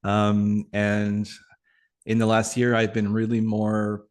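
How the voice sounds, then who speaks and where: French-speaking man, thoughtful and slow. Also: fairly low pitch, monotone, a little mellow. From Atlantic Canada. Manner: thoughtful, many pauses and fillers. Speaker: guest, community facilitator. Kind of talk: reflective interview conversation.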